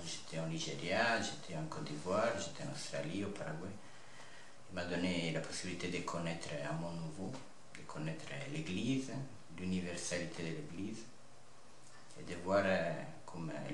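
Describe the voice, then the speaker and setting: Young male interviewee, Italian accent, low register, earnest halting testimony, slight noise behind. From north-east Italy (Friuli). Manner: earnest, halting phrasing with repeated words. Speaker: interview guest, seminarian about to be ordained priest. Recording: echoey room with faint background noise.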